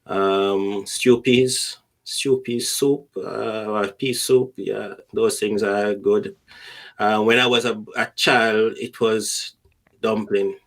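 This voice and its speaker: A man's voice: fairly low pitch, steady tone, slow pace. A middle-aged man with a Jamaican accent, chatting casually as a podcast guest and telling anecdotes, often repeating words for emphasis.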